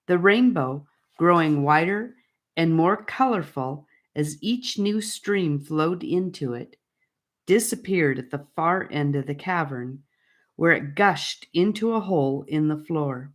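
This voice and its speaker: A woman narrating a fantasy audiobook in a measured, deliberate storybook style. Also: low voice.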